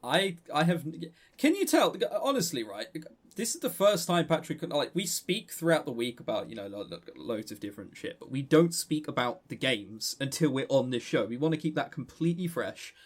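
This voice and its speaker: A man's voice: mid-range voice, very animated, moderate pace, hardly any pauses. A man co-hosting a podcast, chatting casually and unscripted about games in a joking, teasing way. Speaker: podcast co-host.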